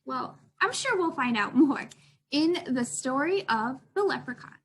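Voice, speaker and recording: High-pitched, animated woman reading to children. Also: a little slow, slight background noise. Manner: addresses young listeners with questions and expressive delivery. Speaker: children's librarian reading a picture book aloud. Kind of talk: children's storytime read-aloud.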